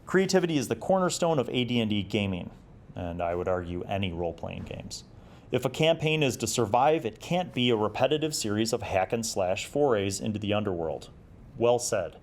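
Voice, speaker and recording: A man's voice: fairly low pitch, very animated, fairly quick, darkish tone, slight background noise. A man in his forties hosting a review show, speaking in a conversational, explanatory and encouraging way as he gives commentary and reads excerpts. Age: 40s. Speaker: host of a tabletop roleplaying review show. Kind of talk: commentary on magazine articles, reading excerpts and adding advice.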